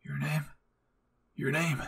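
A man's voice: on the high side, level tone, takes it slowly, vocal fry, a bit bright, slight background noise, exaggerated voice. A man voicing an anguished, devoted young male cadet, earnest and urgent. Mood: alarmed.

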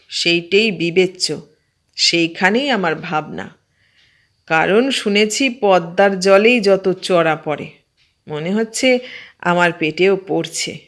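Female audiobook narrator, slow and deliberate, expressive comic storytelling, mid-pitched. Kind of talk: humorous story reading.

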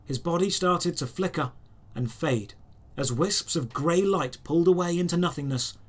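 A man narrating a scripted audio drama in the first person, in measured, deliberate phrases. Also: on the high side. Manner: measured, storytelling delivery in short deliberate phrases.

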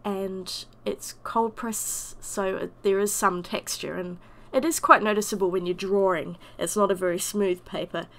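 A woman with a croaky voice from a summer cold, chattily narrating a watercolour painting voice-over. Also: medium pitch, steady tone, unhurried, fairly bright tone. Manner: chatty, self-deprecating. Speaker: watercolour artist.

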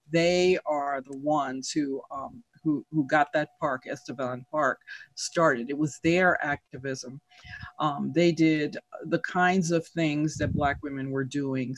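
A man with a fairly high voice speaks as a research panelist over a video call. The sound is dry and close, and he lists examples in an earnest tone. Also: no room sound. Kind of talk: panel discussion answers.

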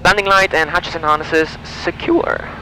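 A man's voice: a little high, moderate pace, rough recording. A man in his twenties calling out checklists, commenting and making radio calls as a solo pilot on a headset mic. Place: small propeller plane cockpit in flight and taxiing. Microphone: aviation headset boom mic. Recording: headset audio with steady engine noise. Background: light aircraft engine hum.

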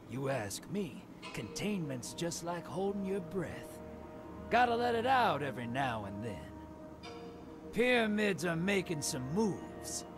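Wry, streetwise rogue, slow and swooping. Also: male voice, high-pitched, full character voice. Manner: slangy, laid-back, drawling out clipped phrases. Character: wry, streetwise male rogue with folksy irreverence. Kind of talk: video game character monologue. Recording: music under the voice.

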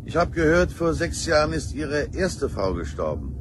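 A man's voice: a little high, sing-song, normal speed. A man playing a probing, matter-of-fact police investigator in a crime radio play, asking terse questions. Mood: probing, composed. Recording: faint vehicle noise behind.

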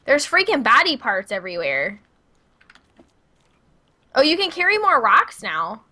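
A woman's voice: high-pitched, big pitch swings, unhurried, bright, forceful tone. A woman with a Midwestern American accent, chatting casually as she streams a co-op video game. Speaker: gaming streamer. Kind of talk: casual co-op gameplay chat.

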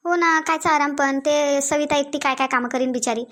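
A woman voicing a dutiful, gentle young wife and mother in a family cartoon, soft and coaxing, with a Varhadi Marathi accent. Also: high-pitched, fairly even intonation, brisk. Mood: dutiful, anxious. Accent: Varhadi Marathi of Vidarbha.

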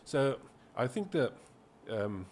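A middle-aged South African man, a company finance chief, talking in a measured, conversational way, often repeating words as he builds his sentences. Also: medium pitch, big pitch swings, a little mellow, slight background noise. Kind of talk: business interview, conversational. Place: small office meeting room. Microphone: desk podcast mic on boom arm.

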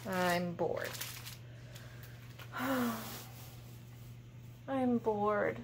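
A woman's voice: mid-range voice, very slow, noisy background, voice pushed down. A woman voicing a bored, dramatic little girl in a picture book, with exaggerated childlike delivery. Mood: bored, sulky. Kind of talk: picture-book read-aloud.

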